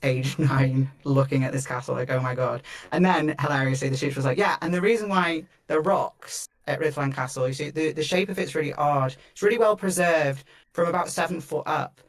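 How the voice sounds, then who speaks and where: Man from Wales, conversational storyteller, medium-pitched, little rise and fall in delivery. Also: steady tone, moderate pace. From north Wales. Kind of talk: casual chat, personal storytelling.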